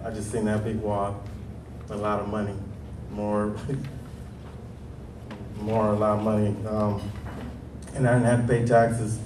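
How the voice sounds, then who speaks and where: A man in his thirties from Stockton, California with a deep voice, telling his life story on a panel, nervous and hesitant with many pauses. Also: completely flat delivery, roomy sound, distant mic, noisy background. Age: 30s. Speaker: panel speaker. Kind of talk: nervous personal testimony. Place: large hall.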